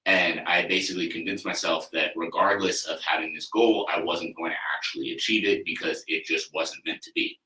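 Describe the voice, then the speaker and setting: Man in his thirties, low and bright, rambling casual podcast talk, a bit off-mic in a reverberant room. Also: steady tone, fairly quick, few pauses. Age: 30s. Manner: conversational, loose, frequent "you know" and "like" fillers, occasional swearing. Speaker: athlete coach, podcast host. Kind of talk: casual solo podcast monologue. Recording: echoey room, mic at a distance.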